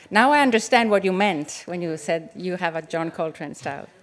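An Italian woman, a novelist, reading her essay aloud at a live event in a measured, reflective way, with the odd aside. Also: on the low side, steady tone. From Italy. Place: event venue with an audience.

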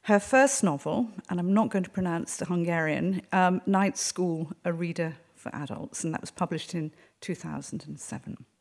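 A woman's voice: lowish voice, moderate pace, few pauses, darkish tone. A woman acting as moderator, giving an informal, chatty introduction of a guest speaker. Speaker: event moderator.

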